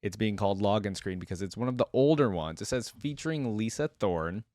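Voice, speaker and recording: Low-voiced man reacting casually. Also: animated, a little fast, a little mellow, no room sound, studio quality. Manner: informal, thinking aloud. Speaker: reaction video host.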